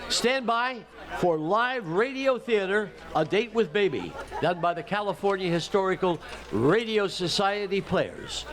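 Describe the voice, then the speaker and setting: Joking man hosting, slightly high voice. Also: lively intonation, unhurried, fairly bright tone, some chatter behind. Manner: joking, conversational. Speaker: event host. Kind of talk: live stage introduction.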